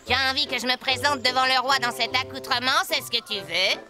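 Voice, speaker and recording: A woman's voice: sits high, a little fast, music in the background, lightly acted. A woman voicing a clever, confident cartoon cat with grand schemes and a self-assured, persuasive manner. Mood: scheming.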